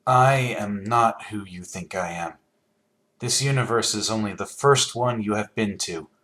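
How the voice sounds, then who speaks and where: A mysterious traveler between universes for a video game. He is solemn and deliberate, with a fairly low voice and long, slow delivery. Each word lands gravely. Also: a bit bright. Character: solemn otherworldly male traveler of many universes.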